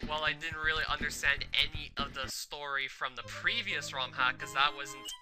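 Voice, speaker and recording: A man's voice: fairly high pitch, steady tone, normal speed. A man giving chatty, opinionated commentary over his gameplay, with many casual fillers. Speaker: let's play commentator. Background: game music.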